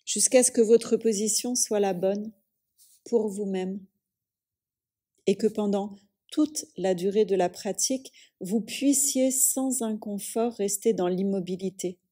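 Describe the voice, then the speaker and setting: A woman guides a yoga nidra relaxation in a calm, soothing manner. Her voice is mellow and relaxed, at a medium pitch. She speaks very slowly, in measured phrases with long pauses between them. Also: husky.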